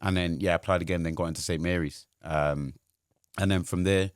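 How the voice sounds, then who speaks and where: A man's voice: deep voice, fairly even intonation, a little fast, smooth, no echo, studio quality. A man, a guest telling his career story in casual chat, rambling with lots of fillers. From Wales. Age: 20s. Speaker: guest, sports journalist.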